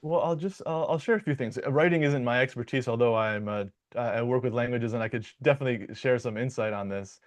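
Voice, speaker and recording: A young American man from Michigan, a dialect coach, answering interview questions in a relaxed, playful way with frequent restarts and fillers. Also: mid-range voice, fast, few pauses. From Michigan, United States.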